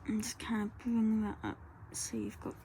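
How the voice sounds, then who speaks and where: A woman, talking softly through a colour-along tutorial and often dropping to a whisper. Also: fairly high pitch, flat delivery, normal speed, a little hiss or hum. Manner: soft, rambling, often drops to a whisper. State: croaky voice.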